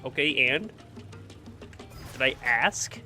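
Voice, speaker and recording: Playful game streamer with swooping pitch. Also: lively intonation, takes it slowly, sharp tone. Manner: playful, quippy. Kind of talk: casual joking gameplay commentary. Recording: game music behind.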